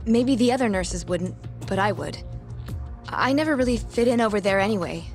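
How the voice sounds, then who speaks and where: A woman's voice: medium pitch, lively intonation, moderate pace, darkish tone, faint music behind, natural voice. A woman playing an earnest, guarded young nurse in an English-dubbed game drama, speaking precisely and formally.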